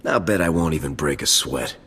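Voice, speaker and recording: A man playing a gruff, cocky sergeant in a video game, with a curt, dismissive way of talking. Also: extremely low pitch, expressive, brisk, fairly bright tone, big-room sound, full character voice. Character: gruff, cocky male military sergeant with a harsh temper. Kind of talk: video game cutscene acting.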